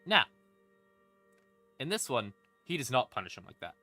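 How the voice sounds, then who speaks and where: Bright-voiced man, irreverent lore explainer. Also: mid-range voice, big pitch swings, moderate pace, no echo. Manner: irreverent, sweary, rambling. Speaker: streamer explaining tabletop game lore. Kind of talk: casual, comedic lore explainer. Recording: music playing in the background. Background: creepy ambient music.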